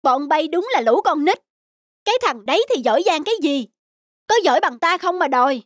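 A man voicing a conceited young scholar in an animated folk tale, pompous and self-satisfied. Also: extremely high pitch, a little fast, no echo, clean studio sound. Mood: scornful.

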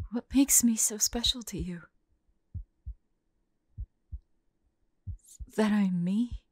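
Halting, anguished young monster woman, acted by a woman. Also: a little high, no room sound, clean studio sound. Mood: tender, disbelieving. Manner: halting, breathy, often dropping into whispers. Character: tormented young monster woman fighting bloodthirst, tender and frightened. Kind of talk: intimate scripted audio roleplay acting.

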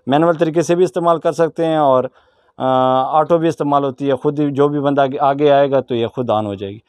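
A man's voice: a little high, fairly even intonation, a little fast, darkish tone, dry recording. Pakistani man presenting products, approving and explanatory. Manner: approving, repeats prices and explains features. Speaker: vlogger presenting products in a market shop. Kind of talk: casual product showcase with questions to a shopkeeper. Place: small shop packed with goods, indoors.

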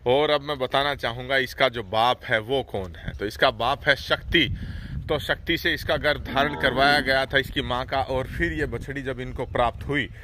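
Male host, bright forceful tone, steady explanatory delivery, outdoor farm walk-through. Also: mid-range voice, moderate pace, slight background noise. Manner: steady explanatory, frequent "haan haan" backchannels. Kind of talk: on-site explanatory commentary.

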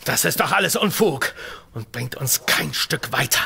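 An actor playing a level-headed, protective doctor in an audio thriller, earnest and commanding. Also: male voice, mid-range voice, expressive, moderate pace, gravelly, pushed and bright, slightly rough, heavily acted. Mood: firm, tense. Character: level-headed male doctor, protective leader on polar station.

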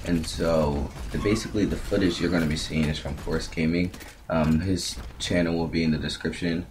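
A man with a very low, deep voice gives casual commentary as the host of a gaming video. There is clear noise behind him. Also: fairly even intonation, moderate pace, a little mellow. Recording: clearly noisy background.

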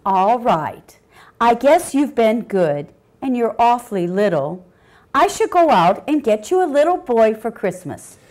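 A woman voicing a patient, concerned mother dog in a storybook tale, gently questioning and indulging her child. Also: fairly high pitch, very animated, a little slow. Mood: patient, indulgent.

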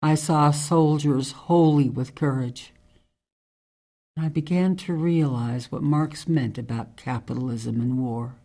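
Radio drama: tough female ex-military ranger, played by a woman, measured, earnest monologues, low-voiced for a woman. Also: dark tone, heavily acted. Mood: solemn, earnest. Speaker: actor in an amateur radio drama.

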